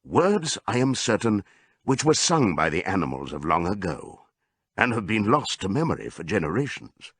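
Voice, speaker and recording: A man voicing an old male pig in an audiobook, giving a solemn, deliberate, rousing speech to his comrades. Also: lowish voice, sing-song, dry recording, studio quality, exaggerated voice. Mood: grave, reminiscing. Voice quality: hoarse as scripted old voice. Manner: oratorical, deliberate.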